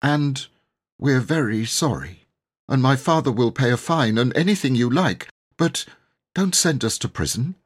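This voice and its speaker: A man's voice: mid-range voice, expressive, measured pace, pitched above the performer's own voice. A man voicing an earnest, anxious but brave young boy making a pleading confession in a storybook reading.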